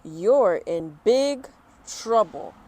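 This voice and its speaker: A woman playing a tactless, scolding female teacher in a storybook, loud, chiding and emphatic. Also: medium pitch, lively intonation, extremely slow.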